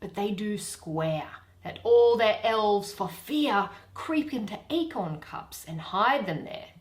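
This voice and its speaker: A mischievous, boastful sprite acted out by a woman declaims verse with bold emphasis. The pitch leaps about and the words are slowly savoured, as in a stage comedy. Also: animated. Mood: warning, relishing.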